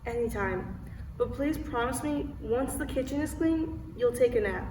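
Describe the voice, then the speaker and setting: A young woman acting in a student stage play as a concerned friend, soothing and measured. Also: a little high, lively intonation, background noise, close to an everyday voice. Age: young adult. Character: calm, concerned young female confidante. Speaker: student actor. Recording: echoey room, distant mic.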